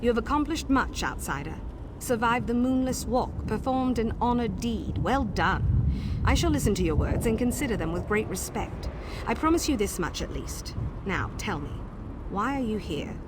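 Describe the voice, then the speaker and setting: An actress playing a dignified, courteous Wood Elf elder in a video game, formal, solemn and measured. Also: female voice, fairly high pitch, sing-song, noisy background. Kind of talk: video game quest dialogue.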